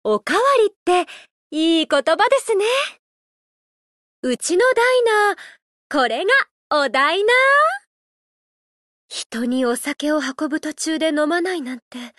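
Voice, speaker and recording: A woman voicing a gentle, playful idol who loves a drink, speaking politely and teasingly in a mobile game. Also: sits high, dry recording, clean studio sound. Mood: welcoming, playful. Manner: polite, unhurried, teasing, softly flirtatious. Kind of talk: Japanese mobile game character voice lines.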